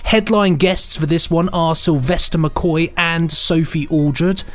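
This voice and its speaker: A man's voice: fairly high pitch, slow. A British man co-hosting a podcast in a casual, chatty, playful and rambling way. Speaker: podcast co-host.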